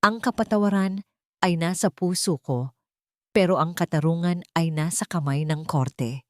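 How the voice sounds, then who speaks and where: A wounded old matriarch from an audio drama, played by a woman. She speaks low, with quiet firmness and bitterness, in a dry close recording. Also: normal speed, no echo, close to an everyday voice. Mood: resolute. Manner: quiet but resolute, bitter. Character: firm, wounded elderly mother and landowner.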